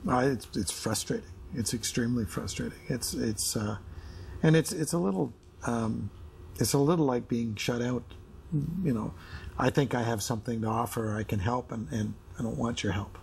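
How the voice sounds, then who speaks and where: A middle-aged man with a mid-pitched voice and plenty of creak in it. He explains himself earnestly in a candid personal interview, over faint background noise. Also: moderate pace, darkish tone. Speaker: interview guest, husband. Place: indoor studio living-room set.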